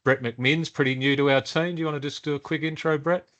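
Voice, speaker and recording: Aussie bloke running a work meeting, casual, lots of ums, room sound. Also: male voice, fairly high pitch, fast talker, lo-fi sound. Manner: relaxed, loose with fillers. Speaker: meeting facilitator. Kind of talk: workshop introductions.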